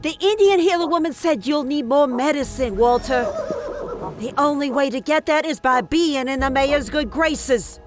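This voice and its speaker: A woman portrays a cold, self-justifying woman in a western audio drama. Her high voice presses insistently. Music is clearly heard behind her. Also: moderate pace, lightly acted. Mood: insistent, defensive. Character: cold, self-justifying woman betraying others for a loved one.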